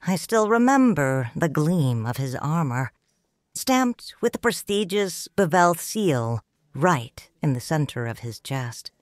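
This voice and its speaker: A woman narrating a story in first person, calm and reflective. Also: low voice, unhurried, dry recording, studio quality.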